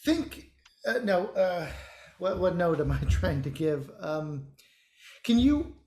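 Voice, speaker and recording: A man playing a brusque, condescending theater director in a naturalistic online play, talking in halting, hedging phrases. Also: high voice, big pitch swings, a little mellow, exaggerated voice. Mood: impatient, fumbling. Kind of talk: naturalistic virtual stage acting.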